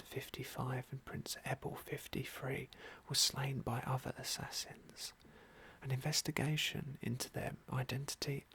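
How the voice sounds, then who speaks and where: A man giving gentle, musing ASMR roleplay commentary on a game in a soft, breathy whisper. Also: mid-range voice. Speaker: game playthrough host.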